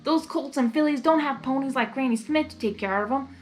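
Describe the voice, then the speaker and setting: A woman's voice: sits high, brisk, soft background music, voice pushed up, natural voice. Woman doing a folksy drawling cowgirl pony. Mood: earnest, helpful. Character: kindly plain-spoken country cowgirl pony.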